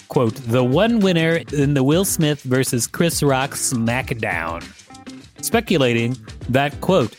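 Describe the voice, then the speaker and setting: Male host-narrator, slightly high register, reading reference text aloud over light music. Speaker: podcast host and reader. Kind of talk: reading an encyclopedia article aloud. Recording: music behind the voice. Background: background music.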